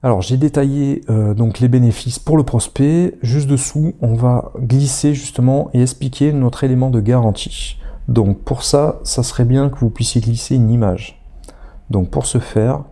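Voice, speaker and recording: Male instructor with a cold, very dark gravelly voice, rambling tutorial delivery. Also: medium pitch, normal speed. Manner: chatty, hesitant, many fillers. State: has a head cold. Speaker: online course instructor. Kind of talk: screen-recorded tutorial walkthrough.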